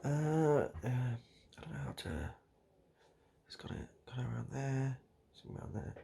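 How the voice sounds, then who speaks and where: A British man doing improvised, absurd comedy straight to the listener in a hesitant, repetitive, deadpan ramble. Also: mid-range voice, normal speed, creaky, slight background noise. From United Kingdom. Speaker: comedic video host. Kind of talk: improvised absurd comedy roleplay addressed to the viewer.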